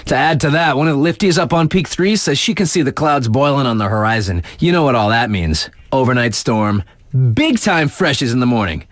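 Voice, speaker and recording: A man playing a laid-back, thrill-loving snowboard radio DJ in a video game, reading casual mountain weather updates with slang and playful dramatic pauses. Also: medium pitch, animated, no echo, close to an everyday voice. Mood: wry, hyped.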